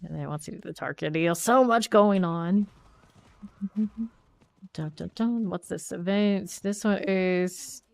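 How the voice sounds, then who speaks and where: A woman's voice: fairly low pitch, a little slow, creaky, darkish tone, dry recording. A woman streaming a game, talking casually to herself as she plays and weighs her choices aloud. She sounds congested and sniffly from a cold. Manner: thinks aloud, chatty and self-questioning. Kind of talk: casual gameplay commentary.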